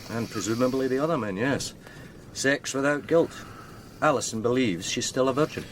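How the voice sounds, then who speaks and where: An actor playing a measured, deferential male detective explaining evidence to his superior in a radio drama. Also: mid-range voice, slight background noise, natural voice, rough recording. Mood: measured, matter-of-fact. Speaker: police officer.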